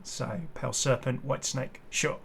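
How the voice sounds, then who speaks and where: A man's voice: medium pitch, fairly even intonation, unhurried, creaky, breathy edge. A man giving casual, off-the-cuff video commentary as a gaming host, rambling and hesitant with apologetic asides.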